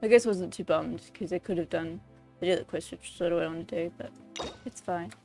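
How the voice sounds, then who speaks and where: Woman, slightly low voice, casual game streamer chat, hesitant rambling, muttered asides, background music. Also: fast talker. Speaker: game livestreamer. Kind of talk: casual chat while gaming.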